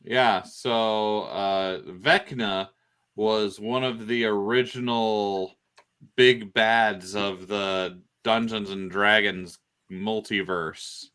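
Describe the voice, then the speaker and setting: A man explaining things casually on a podcast, in a halting way with pauses mid-phrase. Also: fairly low pitch, steady tone, a bit bright, smooth. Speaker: podcast panelist.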